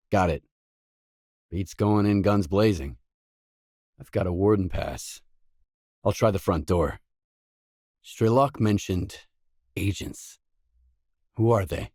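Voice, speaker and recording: An actor playing a determined, guarded stalker in a video game, speaking in a terse, businesslike manner. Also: male voice, deep voice, darkish tone, husky, no echo, studio quality, full character voice. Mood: businesslike, probing. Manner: terse, businesslike, probing questions. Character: gruff, guarded, determined stalker, a man roaming a hazardous zone.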